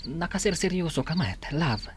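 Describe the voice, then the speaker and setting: A man's voice: fairly high pitch, animated, normal speed, slight background noise, natural voice. A man playing an irritable, haughty boyfriend with an Ilocano accent in a radio drama. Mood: subdued. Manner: grumbling, scolding. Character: irritable, haughty boyfriend, scornful of beggars and strangers. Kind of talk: Filipino radio drama acting.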